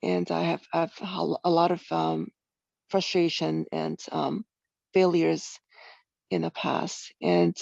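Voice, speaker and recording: A woman from Taiwan, sharing hesitantly with many pauses as a newcomer on a video call. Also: lowish voice, creaky, dry recording. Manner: hesitant, many ums, soft-spoken. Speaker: newcomer meeting member. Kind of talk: gentle personal share. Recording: dull lo-fi dry call audio.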